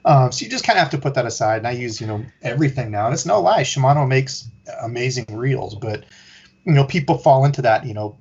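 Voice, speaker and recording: A man guesting on a podcast, laid-back and rambling with lots of "you know" and "like", with a husky voice over a call. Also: medium pitch, animated, fast talker, a little mellow, muffled recording. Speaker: guest, fishing YouTuber. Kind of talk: casual interview chat.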